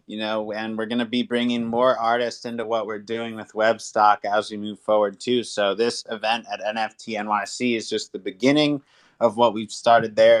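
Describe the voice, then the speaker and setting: A man co-hosting a live online audio chat, talking casually and promotionally with frequent checking tags. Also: lowish voice. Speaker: co-host.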